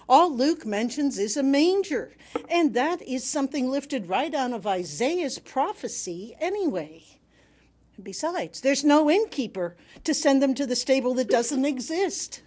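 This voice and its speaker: A woman reading a sermon aloud to a congregation in a measured, emphatic way with wry humour. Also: on the high side, darkish tone. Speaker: reader delivering another minister's sermon.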